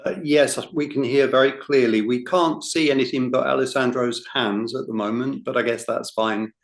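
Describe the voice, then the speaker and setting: A man's voice: mid-range voice, fairly even intonation, normal speed. A man hosting an online meeting, speaking briefly and politely while handling the call. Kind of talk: casual meeting talk.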